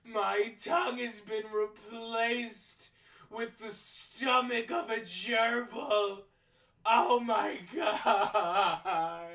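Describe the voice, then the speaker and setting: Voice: medium pitch, a bit bright. An actor voicing an agonized male living snowman in a comic radio play, wailing and pleading melodramatically. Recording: roomy, echoing, distant from the mic.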